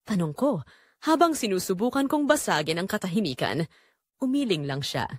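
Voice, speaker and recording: Female Filipino narrator for first-person audio drama; lively, varied intonation, very dry close sound. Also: medium pitch, normal speed, dry recording.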